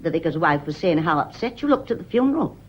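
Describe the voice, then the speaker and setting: An actress playing a tart, loyal British housekeeper in a radio play, curt and stiffly respectful with an indignant edge. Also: female voice, lowish voice, big pitch swings, fast. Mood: guarded, indignant. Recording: muffled old radio drama recording.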